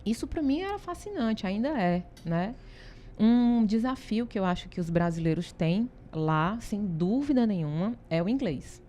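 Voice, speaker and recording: A woman in her thirties with a Ceará accent tells stories in a lively, easygoing way and laughs often. Her voice is warm and mellow, with a middle pitch. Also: normal speed. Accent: Northeastern Brazilian (Ceará). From Ceará, Brazil. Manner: animated storytelling, laughs often, frequent "né?". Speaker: interview guest, consultancy founder. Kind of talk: casual interview talk.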